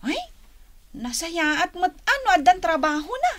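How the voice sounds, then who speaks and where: An actress with an Ilocano accent playing a whiny, reluctant young daughter in a radio comedy drama. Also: female voice, very high voice, sing-song, measured pace, a bit bright, full character voice. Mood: curious, whiny.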